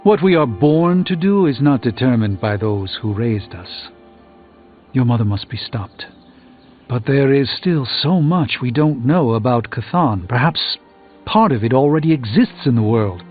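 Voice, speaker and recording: An actor playing a learned, reassuring sorcerer in a video game, speaking in a formal, measured, philosophical way. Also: male voice, mid-range voice, animated, close to an everyday voice. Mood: earnest counsel. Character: grave, learned, reassuring adult male sorcerer. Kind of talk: scripted video game character dialogue. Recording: tinny band-limited audio with music.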